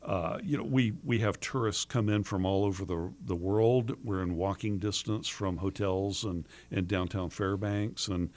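A man talking casually in an interview, rambling and halting with many fillers and restarts, a little hoarse. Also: on the low side, steady tone, a little mellow. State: hoarse, losing his voice. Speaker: distillery owner.

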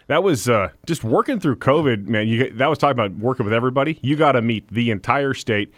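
A man's voice: mid-range voice, lively intonation, fast talker, fairly bright tone, dry recording, studio quality. A man, a guest on a radio show, talking earnestly and at length. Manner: earnest, talkative, with fillers. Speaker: guest, political candidate. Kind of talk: radio interview. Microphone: studio microphone.

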